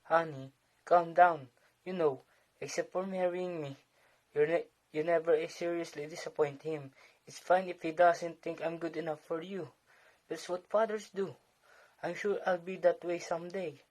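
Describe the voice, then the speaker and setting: Man acting a calm, supportive young husband. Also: a little high, normal speed, lightly acted, poor audio. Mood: reassuring. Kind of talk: amateur one-act play acting.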